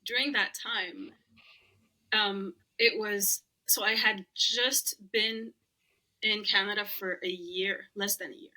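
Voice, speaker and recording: A young woman with a bright, clear voice. Her is Arabic, but she talks easily in English. She is chatty and laughs often as a podcast guest. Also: medium pitch, fairly even intonation, slow, rough recording. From Lebanon. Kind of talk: casual interview chat.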